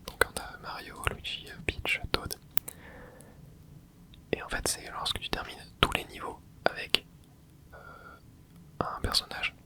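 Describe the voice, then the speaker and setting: A man whispering casual commentary as he plays a video game for an ASMR channel, dropping small interjections and explaining the game to his viewers. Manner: soft whispering with little interjections while playing. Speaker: ASMR gaming channel host.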